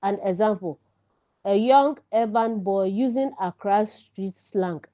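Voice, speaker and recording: A woman with a Ghanaian accent giving an instructional lecture as a teacher, speaking deliberately in short, emphatic phrases. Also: mid-range voice, warm tone. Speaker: writing teacher presenting a lesson. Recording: dull, lo-fi sound.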